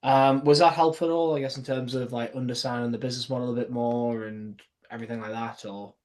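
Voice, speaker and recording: A man's voice: mid-range voice, steady tone, quick pace, darkish tone. A British man in casual, slangy conversation, dropping in "like" and "mate" as he talks through sales technique. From United Kingdom. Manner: informal, slangy, says "like" and "mate". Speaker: sales closer reviewing his old call. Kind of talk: casual commentary and sales talk.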